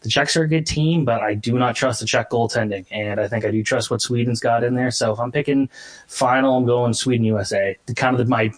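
Male sports analyst, mid-pitched, halting delivery. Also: fairly even intonation. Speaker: hockey analyst, guest writer. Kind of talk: casual sports analysis in an interview.

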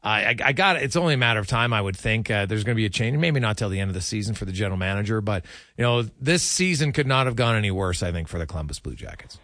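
A man's voice: on the low side, animated, fast talker, bright, forceful tone, dry recording, studio quality. A man hosting a casual, conversational sports radio interview, freely offering his opinions.

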